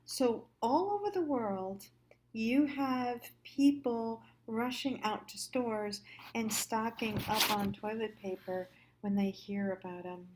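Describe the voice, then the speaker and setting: A woman hosting a storytelling series, thinking aloud in a casual, halting, conversational way with trailing thoughts. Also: mid-range voice, darkish tone, slight background noise, poor audio. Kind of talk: casual reflective monologue.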